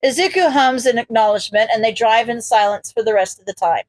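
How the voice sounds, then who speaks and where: A woman narrator reading a story aloud in an expressive, scripted audiobook style. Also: on the high side, fairly quick, low-quality recording. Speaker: podfic narrator.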